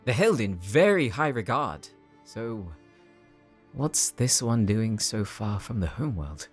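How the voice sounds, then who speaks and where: A man's voice: lowish voice, sing-song, unhurried, soft background music. A man voicing an earnest, homesick tech worker from a space colony in an audio roleplay, explaining things in a sometimes faltering way. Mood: explanatory. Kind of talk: anime-style audio roleplay acting.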